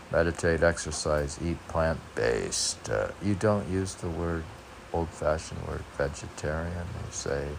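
A man's voice: sits low, gravelly. A man reading his own short poems aloud, rambling wryly and talking back to himself in casual commentary. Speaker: poet reading own work. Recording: noticeable background noise.

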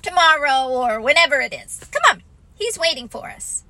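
Woman as fussy male rabbit, bossy, emphatic, high-pitched, swooping, storybook. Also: animated, pushed and bright, dry recording, exaggerated voice. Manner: emphatic, hurried, flustered. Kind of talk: children's storybook character voice.

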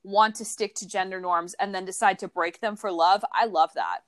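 A woman's voice: medium pitch, fairly even intonation, normal speed, dry recording, clean studio sound. A young woman co-hosting a podcast, chatting casually and telling stories in a blunt, crude, playful way. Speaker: podcast co-host. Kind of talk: casual chat.

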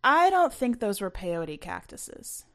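A woman voicing a bold, bossy teenage girl with a brisk, teasing manner in a story. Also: medium pitch, sing-song, pitched above the performer's own voice. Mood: dismissive, alert.

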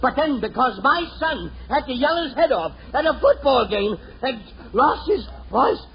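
An actor playing an exasperated middle-aged suburban father in a 1940s radio comedy, with a gravelly voice. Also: very high-pitched, sing-song, normal speed, heavily acted. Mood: weary, grumbling. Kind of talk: 1940s radio sitcom acting. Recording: dull old radio recording, noisy, audience behind.

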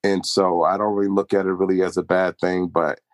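A man's voice: low voice, flat delivery, fairly quick, dry recording, clean studio sound. A young man talking earnestly and reflectively about pride and humility in a casual podcast chat. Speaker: podcast co-host.

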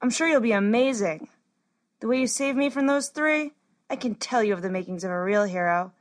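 A woman voicing a shy, chatty girl who is an apprentice healer in a storybook, gentle and earnest. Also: on the high side, brisk, close to an everyday voice. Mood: shy, admiring.

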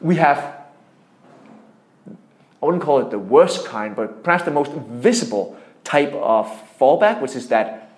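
A man's voice: mid-range voice, animated, measured pace, pauses often. A man with a Danish accent explaining things in an interview as a startup founder, emphatically and through examples. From Denmark. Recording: echoey room.

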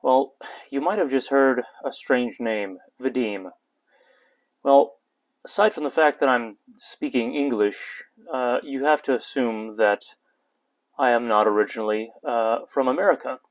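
A man in his twenties, born in Ukraine, hosting his own podcast and telling his life story in a measured, earnest monologue with light hesitations. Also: medium pitch. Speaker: podcast host. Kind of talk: personal testimony podcast monologue.

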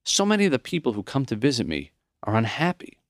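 A man voicing a kind, wise old dying professor in an audiobook, with a high, smoky voice and an earnest, teacherly manner. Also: wildly swooping pitch, quick pace, no echo, clean studio sound.

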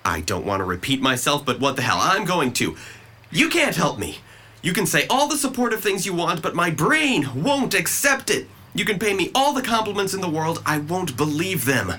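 A man's voice: on the high side, big pitch swings, moderate pace, sharp tone, exaggerated voice. A young man playing a bitter, sarcastic, depressed young man in an audio drama, speaking in a defensive, emphatic way. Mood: angry, despairing. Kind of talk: audio drama dialogue acting.

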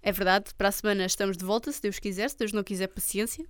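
A woman's voice: mid-range voice, fairly even intonation, racing, no echo, studio quality. A young woman with an Algarve Portuguese accent, chatting casually and anecdotally as a podcast co-host. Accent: European Portuguese, Algarve. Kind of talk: casual chat.

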